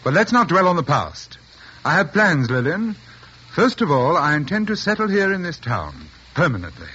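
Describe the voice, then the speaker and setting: Pompous, sinister cultured doctor, swooping delivery. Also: male voice, fairly high pitch, sing-song, bright, forceful tone, slightly rough, heavily acted. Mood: smug, assured. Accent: high-toned, cultured. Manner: pompous, theatrical, deliberate. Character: condescending, sinister, cultured doctor returned from abroad. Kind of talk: 1940s radio acting. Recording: muffled, noisy 1940s radio recording.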